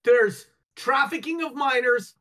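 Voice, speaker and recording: A man's voice: extremely high pitch, unhurried, bright, forceful tone. A man co-hosting a casual chat, joking and emphatic, with many repeated words.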